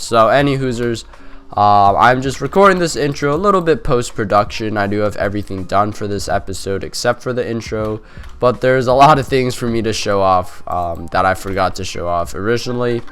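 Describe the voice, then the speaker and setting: Young man, nasal, congested from a cold, low voice, clean close mic, faint music underneath. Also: a bit bright, clean tone. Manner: rambling, chatty, many fillers. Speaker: gaming channel host. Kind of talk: casual gameplay commentary.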